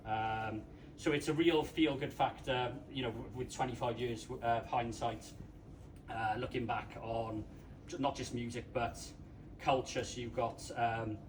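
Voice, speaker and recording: A man from Wales hosts a bookshop panel, chatting to the crowd with frequent ums and false starts. He sits back from the microphone, and the shop's steady hum runs clearly under his voice. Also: medium pitch. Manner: chatty, lots of ums and restarts. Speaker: author hosting a book launch panel. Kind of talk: casual talk to a live audience. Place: bookshop event room. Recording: distant mic with room noise.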